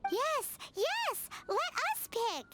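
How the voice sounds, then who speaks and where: A woman voicing a tiny, cheeky, excitable childlike fairy companion in a cartoonish video game, squeaky and perky. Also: takes it slowly, background noise, heavily acted. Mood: excited. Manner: squeaky, perky, speaks of herself in third person.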